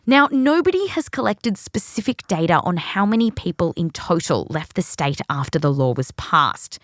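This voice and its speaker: A woman's voice: lowish voice, fairly bright tone, no echo, clean studio sound. An Australian woman hosting a science podcast in a scripted narration with playful, jokey asides. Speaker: podcast host.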